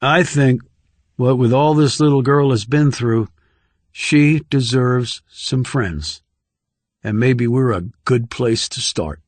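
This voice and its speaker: A man's voice: mid-range voice, slow pace, dry recording, natural voice. Man voicing a kindly, wry guardian, dry recording. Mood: kind, sincere. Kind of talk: dramatic audiobook dialogue.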